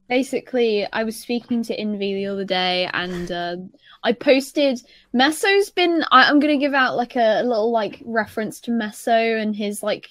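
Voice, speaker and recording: A young woman of about twenty with a fairly high voice, recorded dry without room echo. She talks casually as on a podcast, feeling her way through sentences, doubling back and repeating words as she goes. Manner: rambling, halting, repeats herself. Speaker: podcast panelist.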